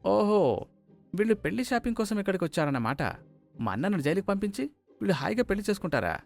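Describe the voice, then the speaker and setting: Man voicing a high, vengeful scheming gangster. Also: very animated, fast talker, creaky, faint music behind, full character voice. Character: scheming vengeful gangster brother, male storybook villain.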